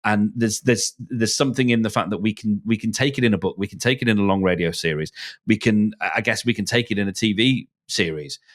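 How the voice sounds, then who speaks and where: A middle-aged man talking casually and enthusiastically about books and films, in halting, stop-start phrases. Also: on the low side.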